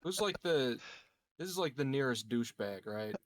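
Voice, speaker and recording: A man's voice: medium pitch, animated, normal speed, dry recording. A man playing a tabletop game, chatting casually in a wry way. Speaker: player.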